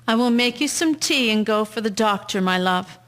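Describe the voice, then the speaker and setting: A woman's voice: medium pitch, moderate pace, fairly bright tone, close to an everyday voice. Desperate, grieving mother, acted by a woman. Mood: concerned, tender. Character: grief-stricken, desperate mother in 1912 rural Canada. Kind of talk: scripted radio-drama acting.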